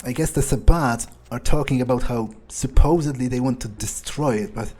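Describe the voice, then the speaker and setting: Gamer guy doing smug contemptuous vampire, mellow husky voice, swears a lot, halting thinking out loud. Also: mid-range voice, close to an everyday voice. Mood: smug, musing. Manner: foul-mouthed, disdainful, halting musing. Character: arrogant, contemptuous male vampire musing to himself. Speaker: gamer role-playing in character. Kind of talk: in-character gameplay monologue, improvised. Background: music.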